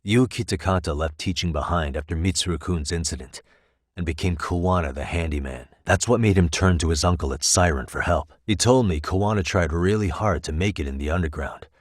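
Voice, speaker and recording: A calm detective dude from a video game, low voice, super dry sound, methodical and thinking out loud. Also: male voice, no room sound. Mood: pensive, focused. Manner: measured, methodical, reasoning aloud. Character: calm, analytical male private detective, former lawyer. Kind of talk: English video game voice acting, conversational dialogue.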